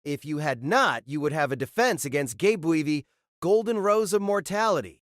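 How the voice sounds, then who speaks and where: A man playing a soft-spoken gallant knight. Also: fairly high pitch, lively intonation, normal speed, no room sound, clean studio sound, close to an everyday voice. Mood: proud. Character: proud gallant young male spear knight.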